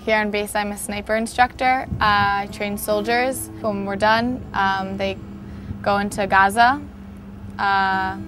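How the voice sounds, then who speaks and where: A young woman of nineteen tells her own story in a flat, steady voice at a middle pitch. She speaks earnestly, with small fillers between thoughts. A clear music track plays beneath her. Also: measured pace. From New York, USA. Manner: earnest, plain-spoken, with fillers. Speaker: soldier, sniper instructor. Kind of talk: personal interview testimony. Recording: music playing underneath.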